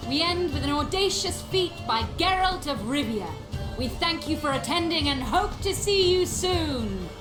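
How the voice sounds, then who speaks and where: A commanding circus showwoman from a fantasy video game, with a fairly high voice. She is theatrical, sly and haughty. The sound is echoey, with music behind her. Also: expressive, measured pace. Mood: showy, proclaiming. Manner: theatrical, haughty, sharp-witted.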